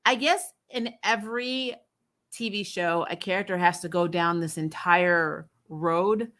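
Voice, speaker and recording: Blunt, bright-voiced woman reviewer. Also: lowish voice, unhurried. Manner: blunt, unfiltered, swears freely. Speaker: host of a TV recap show, reviewer. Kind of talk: casual livestream chat answering viewer questions.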